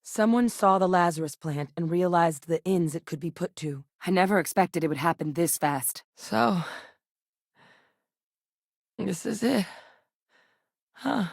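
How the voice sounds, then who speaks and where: An actress playing a composed, capable female scientist in a game, speaking in a measured, matter-of-fact, dry way. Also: on the low side, creaky, darkish tone, dry recording. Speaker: scientist working alongside the captain. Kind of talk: game character voice lines.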